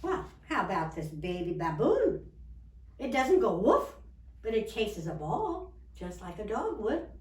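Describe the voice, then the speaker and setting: A woman's voice: medium pitch, big pitch swings, measured pace, roomy sound, recorded from a distance, pitched above the performer's own voice. A woman voicing an eager, pushy man who runs an animal shelter, coaxing like a salesman in a storybook reading. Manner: coaxing, exclamatory sales patter.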